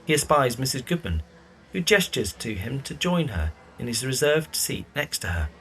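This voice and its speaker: A man's voice: medium pitch, sing-song, moderate pace, a bit bright. A man reading scripted narration for an audio drama.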